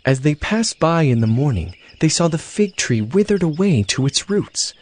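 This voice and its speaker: A man's voice: on the high side, very animated, normal speed, darkish tone. A man narrating scripture from a script, as the storyteller of a dramatized reading. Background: music.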